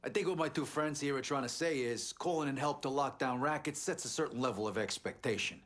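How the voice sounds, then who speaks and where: An actor playing an older, shrewd mobster in a video game, talking in a measured, patronizing way. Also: male voice, medium pitch, a bit bright, lightly acted. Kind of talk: video game cutscene acting.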